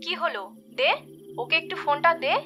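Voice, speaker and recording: A woman's voice: high-pitched, normal speed, pushed and bright, faint music behind. A woman voicing a worried, scolding middle-aged mother on a phone call in a cartoon. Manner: scolding, fretful. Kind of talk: Bengali cartoon voice acting.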